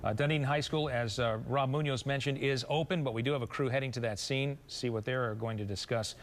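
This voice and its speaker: A man's voice: mid-range voice, steady tone, brisk. A man anchoring a live newscast, ad-libbing somewhat hesitantly. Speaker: news anchor.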